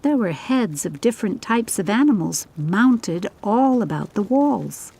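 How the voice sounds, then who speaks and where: A woman's voice: medium pitch, expressive, measured pace, vocal fry, a little mellow, slightly rough. A woman reading scripted audiobook narration of a story.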